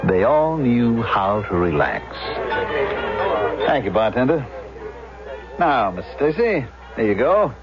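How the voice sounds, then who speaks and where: A man's voice: medium pitch, big pitch swings, takes it slowly, long pauses. An actor playing a pompous, talkative middle-aged man in a 1970s radio drama, expansive and insistent. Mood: insistent, effusive. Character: pompous, garrulous middle-aged man who plays invented roles. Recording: dull vintage radio, loud music behind.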